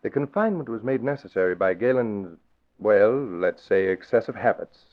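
A man voices a crooked lawyer, slick and oily, who turns defensive and blustering when pushed. His pitch swings lively across a lowish voice. The vintage radio recording sounds thin and tinny, like a phone line. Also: normal speed, lightly acted. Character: crooked, smooth-talking male lawyer. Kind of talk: 1940s radio acting.

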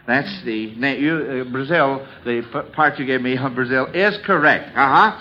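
A man's voice: medium pitch, moderate pace, bright, forceful tone. A man hosting a 1940s radio quiz show, coaxing children along in an avuncular way and often repeating himself. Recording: dull, band-limited vintage radio recording.

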